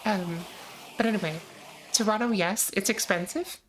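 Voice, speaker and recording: A woman's voice: mid-range voice, normal speed, slight background noise. Chatty American woman streamer. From United States. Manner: chatty, reads chat aloud, frequent ums. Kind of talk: casual gaming livestream chat.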